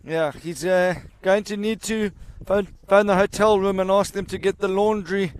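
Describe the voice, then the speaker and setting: A man's voice: high-pitched, fairly even intonation, normal speed, smooth. A man giving chatty, explanatory live commentary on an amateur cricket match. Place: outdoors at a cricket ground.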